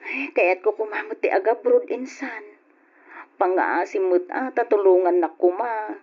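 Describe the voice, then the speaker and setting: A determined young wife and mother acted by a woman in an old-fashioned radio drama, with a Filipino accent. She speaks in a pleading, emphatic way at a middling pitch, on a dull lo-fi recording. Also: a little slow, lightly acted. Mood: pleading, insistent.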